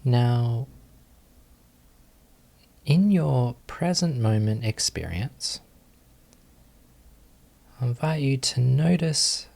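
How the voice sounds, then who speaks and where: A man leading a guided meditation as a teacher, giving gentle instructions with long pauses. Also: mid-range voice, creaky.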